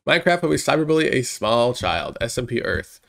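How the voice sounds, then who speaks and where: A man's voice: medium pitch, very animated, a little fast, vocal fry, a bit bright. A young man with a stuffed-up, congested voice hosting a chatty reaction video from a small room on a headset mic. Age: young adult. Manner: chatty, hyped, rambling. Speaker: reaction channel host. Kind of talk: casual reaction commentary.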